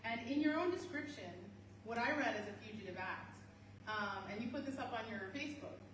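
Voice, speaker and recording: A woman's voice: on the high side, expressive, fairly quick. Woman moderator in an echoey hall. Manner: conversational, thinking aloud, academic phrasing. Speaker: moderator, academic. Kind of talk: moderating a public conversation. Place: large hall at a university museum. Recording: echoey hall, distant mic, background noise.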